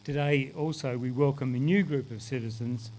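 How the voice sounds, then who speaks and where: An older man with an Australian accent giving a public ceremony speech, pausing often with fillers and restarting his words. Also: mid-range voice, fairly even intonation, darkish tone, a little hiss or hum, rough recording. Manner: halting, many fillers and restarts. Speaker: Australia Day ambassador speaker.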